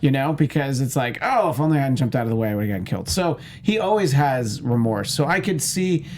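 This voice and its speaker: A man's voice: medium pitch, expressive, brisk, a little mellow. A man chatting casually as a panelist, giving opinions in a wry, quippy way. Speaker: panelist on a movie news show.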